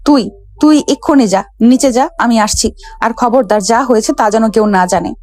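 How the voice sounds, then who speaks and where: A woman's voice: a little high, moderate pace, a little mellow, natural voice. A woman playing a proud young rich bride in a romantic audio story, bossy and flustered. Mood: flustered, scolding.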